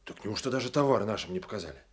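A man's voice: fairly low pitch, lively intonation, rapid-fire, husky. An actor playing an inquisitive townsman questioning his superior in a radio drama. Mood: curious. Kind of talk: Soviet radio drama acting.

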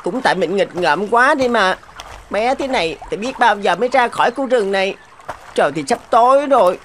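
A woman's voice: mid-range voice, animated, normal speed, fairly bright tone, a little hiss or hum, natural voice. A woman voicing a tiny, plucky but anxious young boy in a children's cartoon, musing aloud expressively. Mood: glum, worried.

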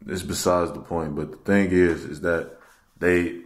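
A man's voice: low voice, steady tone, measured pace. A young man making prank phone calls in a slangy, repetitive, pushy way, recorded by a camera across a table. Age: young adult. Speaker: prank caller, video host. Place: small room indoors at a table.

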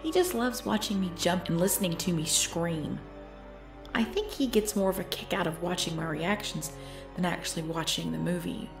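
A woman's voice: lowish voice, normal speed, lightly acted. Woman acting a pleading, jumpy young girlfriend over music. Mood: nervous, muttering. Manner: pleading, whiny, dropping into hushed self-talk. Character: cute, jumpy girlfriend frightened by scary movies. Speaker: roleplay audio performer. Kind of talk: intimate ASMR girlfriend roleplay.

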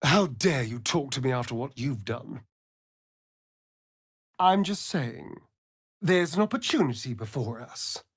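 A man's voice: mid-range voice, animated, measured pace, pauses often, husky, no room sound, studio quality. A man playing a vain, sardonic vampire rogue in a fantasy video game, with an arch, flamboyant drawl. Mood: disgusted.

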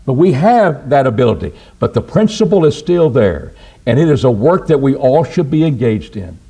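Lively preacher with a swinging voice. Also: male voice, normal speed, husky. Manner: lively, rises and drops in pitch for emphasis, asks rhetorical questions. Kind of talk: sermon. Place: church auditorium at a wooden pulpit. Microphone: pulpit microphone on a stand.

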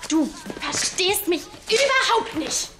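A woman's voice: very high-pitched, lively intonation, slow, over loud music, full character voice. An actress playing an emotional, insistent teenage schoolgirl in a TV series. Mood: upset. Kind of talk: TV series acting. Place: indoors.

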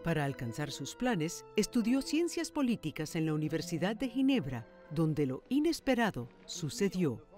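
Woman doing tv news mag narration, animated delivery, soft music underneath. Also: fairly low pitch, normal speed, few pauses. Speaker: TV news magazine presenter and reporter. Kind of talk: scripted broadcast narration. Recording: music bed under studio voice. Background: background music.

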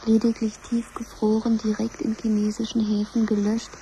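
A very bright, sharp female computer voice played by an actress delivers formal announcements in a 1970s radio play. She is measured and ceremonious, with faint noise on the recording. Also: on the high side, monotone, close to an everyday voice, low-quality recording. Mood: solemn, official. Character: official female computer voice of a crisis command centre.

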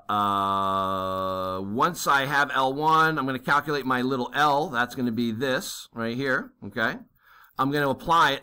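A man's voice: mid-range voice, bright, forceful tone. A man teaching a navigation math lesson, working a problem step by step and thinking aloud, checking in with "okay?" and plenty of fillers. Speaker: navigation instructor.

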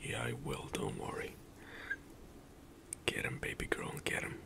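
A young man whispering ASMR commentary while playing a game, soft and hushed with sudden loud outbursts, into a desk mic in a small room. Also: unhurried. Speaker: gaming streamer. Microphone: headset and desk mic, webcam in corner.

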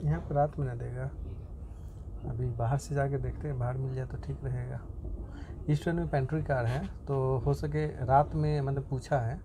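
A man's voice: medium pitch, fairly even intonation, moderate pace. A young man chatting casually in a travel vlog, thinking aloud with many fillers and restarts, filming on a phone by a train window. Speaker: vlogger. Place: inside a train compartment at a station, by the window. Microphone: phone camera held near the window. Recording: noisy phone recording in a train. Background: station and train ambience.

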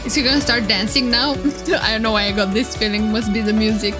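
A woman streamer giving excited, casual reaction commentary in a playful, flirty, gushing way. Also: on the high side, fairly quick. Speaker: streamer reacting to game trailers. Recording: loud music behind. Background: game trailer music and sound.

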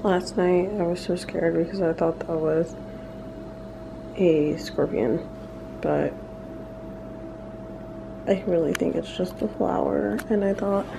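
A woman's voice: fairly low pitch, steady tone, takes it slowly, gravelly, long pauses, noisy background. A woman in her twenties with an American accent, chatting casually and playfully as she vlogs into a handheld camera. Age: 20s. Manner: informal, playful. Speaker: travel vlogger. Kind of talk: casual vlog chat to camera. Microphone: handheld camera at arm's length. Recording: music behind the voice. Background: music.

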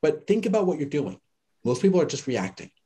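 A man teaching an online class in a lively, conversational way, telling stories and acting out the people he quotes. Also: on the high side, brisk. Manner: animated storyteller, acts out quoted people. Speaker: course instructor.

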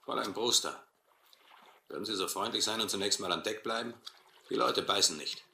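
Domineering sea captain, echoey noisy recording. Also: male voice, on the low side, fairly quick, creaky, sharp tone, full character voice. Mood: controlled. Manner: commanding, coolly menacing. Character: domineering, cunning seal-schooner captain. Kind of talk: radio play acting. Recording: echoey, noisy old radio play recording.

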